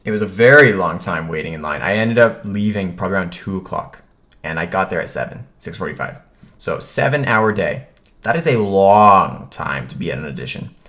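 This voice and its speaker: A man with a low-pitched voice tells a personal story in a casual vlog style. He speaks informally, as if to viewers, and retells what people said in an animated way. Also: fairly quick. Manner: animated retelling, acts out quoted remarks. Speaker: vlogger telling a personal story.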